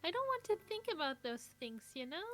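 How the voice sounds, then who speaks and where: A woman's voice: high voice, big pitch swings, brisk, a bit bright, soft background music. A woman voicing a shy, sad teenage anime girl in love in a visual novel, with a tender, hesitant delivery. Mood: anxious, fragile.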